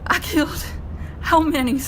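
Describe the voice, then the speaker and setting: A woman's voice: high voice, moderate pace, fairly bright tone, slight background noise. A woman playing a folksy, pleading alien moonshiner with a rural Southern US accent in an audio drama. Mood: rueful, pleading. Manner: folksy, plain-spoken, pleading. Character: folksy, distraught female alien moonshiner from another world.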